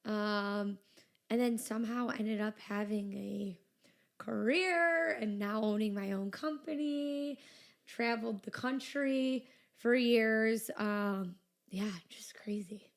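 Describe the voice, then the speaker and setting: A young woman talks openly as a podcast guest, her voice pitched a little high. A gravelly fry runs under her words, and she keeps filling them with "like" and "you know". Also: takes it slowly. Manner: chatty, lots of "like" and "you know". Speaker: business owner, guest. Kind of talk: casual interview chat.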